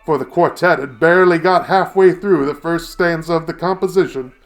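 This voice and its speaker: A man playing a wry, literate storyteller, narrating a radio play in ornate, deliberate phrases. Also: a little high, close to an everyday voice. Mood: wry amusement. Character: wry, literate storyteller recalling old Baltimore nightlife.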